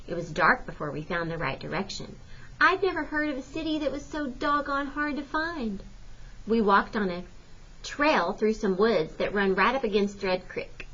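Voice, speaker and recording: A woman reading a folksy first-person novel aloud as expressive storybook narration, like a teacher. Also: medium pitch, lo-fi sound.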